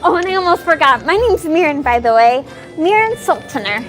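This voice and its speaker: Woman playing bubbly, very high-voiced young townswoman. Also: big pitch swings, brisk, faint music behind. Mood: excited. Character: bubbly, chatty young townswoman in a fantasy adventure. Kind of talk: fantasy audio drama roleplay.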